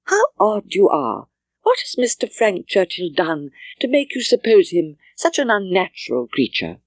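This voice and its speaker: A woman voicing a spirited, stubborn young Regency gentlewoman in an audiobook, with genteel, emphatic British Received Pronunciation. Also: mid-range voice, wildly swooping pitch, normal speed, dry recording, clean studio sound. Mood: indignant. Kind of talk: audiobook character dialogue.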